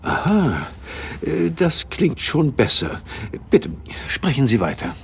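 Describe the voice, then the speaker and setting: A man playing an attentive gentleman detective in a German audio drama, courteous but impatient, with a husky voice and a probing manner. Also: fairly high pitch, big pitch swings, a little hiss or hum, exaggerated voice. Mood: brisk, curious. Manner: brisk, probing, interjecting.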